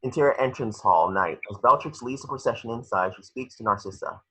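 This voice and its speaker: A man narrating stage directions for a script table read, in measured, dramatic short phrases. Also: medium pitch, pushed and bright, slightly rough, rough recording.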